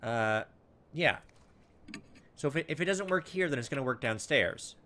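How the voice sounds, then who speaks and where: A man giving a casual let's play commentary, thinking out loud, reading game text and tossing in exasperated asides. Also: medium pitch, animated, normal speed, a little hiss or hum. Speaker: gaming video host. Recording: background music behind voice. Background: video game music.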